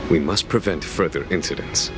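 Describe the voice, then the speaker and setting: A man playing a calm, wise, long-lived general in a video game, speaking in a measured, formal, commanding way. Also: a bass voice. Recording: music behind the voice.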